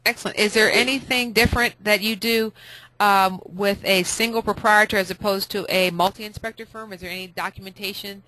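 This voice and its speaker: A woman hosting a professional web conference call, putting questions in a somewhat halting way. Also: medium pitch, steady tone, pushed and bright, slight background noise, poor audio. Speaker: host, inspection mentor.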